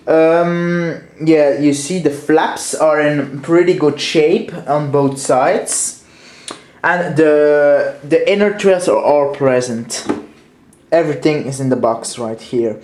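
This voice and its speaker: A man's voice: on the high side, a little mellow, echoey room. A young man with a Dutch accent casually reviewing a toy train, hesitant and full of fillers and restarts. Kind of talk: casual show-and-tell review.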